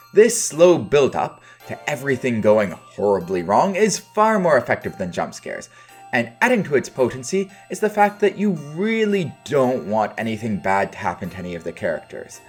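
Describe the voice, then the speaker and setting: A man delivering a scripted video review in casual, opinionated phrasing with dry understatement. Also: mid-range voice.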